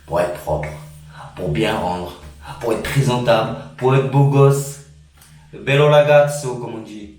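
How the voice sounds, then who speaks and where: A man's voice: mid-range voice, unhurried, a little mellow. A man from France chatting informally and earnestly as a vlogger, recorded in a reverberant room away from the mic. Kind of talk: casual vlog chat. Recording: very echoey room, distant mic, faint noise.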